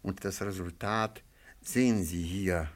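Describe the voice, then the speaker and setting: Shrewd, unruffled gentleman sleuth. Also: low voice. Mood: quietly triumphant. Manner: measured, ironic, polite. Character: shrewd, unruffled amateur sleuth, quietly ironic man. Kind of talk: radio play dialogue.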